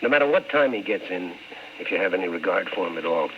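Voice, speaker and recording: A man's voice: low voice, expressive, fast, a little hiss or hum. An actor playing a world-weary newspaper columnist in old-time radio drama style, wry and clipped as he tells his story. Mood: guarded, earnest. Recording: muffled vintage radio recording with music.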